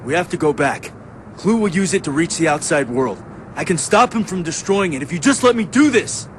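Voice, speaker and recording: Actor as an impulsive young man, animated pitch. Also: brisk, slight background noise, close to an everyday voice. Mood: urgent pleading. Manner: urgent, questioning. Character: impulsive, anxious young adult son. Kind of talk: dramatic game cutscene acting.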